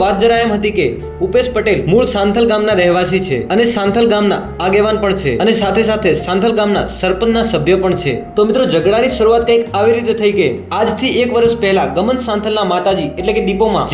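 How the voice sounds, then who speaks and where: A man's voice: sits high, a little mellow. A man narrating a scripted explainer as a channel host. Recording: roomy echo, faint music behind.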